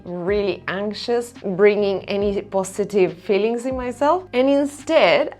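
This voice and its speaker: A woman from Argentina, a native Spanish speaker with an Argentine accent, gives a candid, emphatic personal self-help talk as host of her own channel. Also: on the high side.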